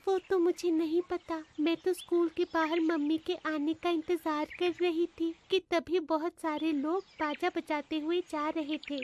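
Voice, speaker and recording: A woman's voice: extremely high pitch, a little hiss or hum. A woman voicing an innocent five-year-old schoolgirl in a cartoon moral story, with a childlike, plaintive manner.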